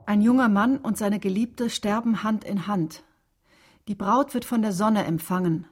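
A woman reciting poetry in a radio drama production, measured and delivered in short deliberate phrases. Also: medium pitch, a little mellow, natural voice. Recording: music behind the voice. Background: music.

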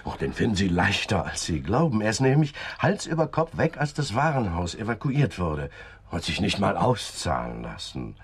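An actor playing a fussy, talkative department store manager in a radio drama. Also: male voice, fairly low pitch, very animated, normal speed, husky, heavily acted. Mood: gossipy.

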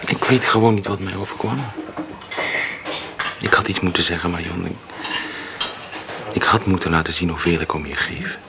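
A man's voice: sits low, fairly even intonation, normal speed, gravelly, pauses often, heavy background noise. An actor playing a remorseful young male artist in a radio drama, speaking earnestly and a little hesitantly. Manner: earnest, hesitant, then eager.

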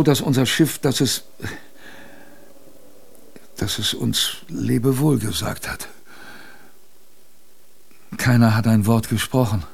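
An actor playing a plain-spoken seaman who recalls a polar shipwreck in a colloquial, halting way, as in a radio drama. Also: mid-range voice, gravelly, a little mellow, husky. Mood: musing, halting. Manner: colloquial, halting, musing storyteller. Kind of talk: radio drama first-person narration.

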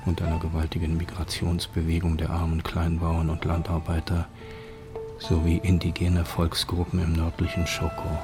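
A sober male narrator for a radio documentary drama. The voice is low and very even, and the scripted delivery is formal and measured. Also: over music.

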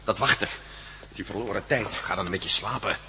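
A man's voice: on the low side, a little fast, natural voice. A man acting in a Dutch radio play as an impatient, suspicious prisoner, grumbling distrustfully. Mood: restless, irritated. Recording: noisy old radio drama recording.

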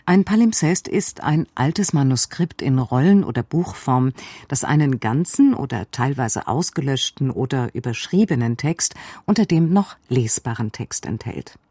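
Mellow, husky male radio presenter. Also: mid-range voice, expressive, dry recording, studio quality. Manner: measured, explanatory. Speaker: radio presenter introducing a play. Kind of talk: scripted introduction. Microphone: close studio microphone.

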